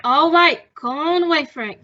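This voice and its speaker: Woman as a high-voiced, brisk nightclub girl. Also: highs cut off, natural voice. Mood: annoyed, firm. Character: brisk, no-nonsense young nightclub cigarette girl. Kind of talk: old-time radio detective drama acting.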